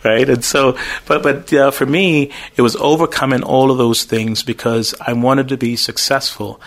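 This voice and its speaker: A man's voice: medium pitch, fairly even intonation. A man from Jamaica with a heavy Jamaican accent, a pastor and school owner, speaking as an interview guest, choosing his words with care and a slight stutter. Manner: measured, thinks through words, occasional stutter.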